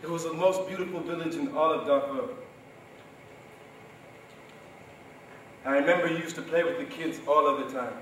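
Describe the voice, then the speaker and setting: A man's voice: a little high, natural voice. A young man performing a dramatic stage monologue as an anguished, protective seventeen-year-old Darfuri refugee, impassioned and pleading. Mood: wistful grief. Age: young adult. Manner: impassioned, pleading, swinging between cries and hushed grief. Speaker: student performer. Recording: roomy, distant stage recording, faint noise.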